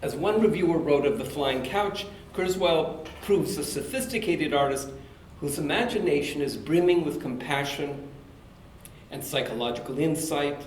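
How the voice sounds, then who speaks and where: A man hosting a university literary reading, giving a formal introduction at a measured pace with occasional fillers. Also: on the high side, echoey room, slight background noise, rough recording. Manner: measured, reads from prepared notes with occasional fillers. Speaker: event host introducing the speaker. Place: university event hall.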